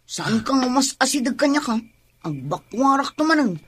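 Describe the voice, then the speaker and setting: A woman's voice: high-pitched, sing-song, normal speed, a bit bright, husky, heavily acted. A woman playing an irritable, curt pregnant young wife in an Ilocano comedy radio drama, speaking with an Ilocano accent. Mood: irritated. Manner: grumbling, curt, complaining.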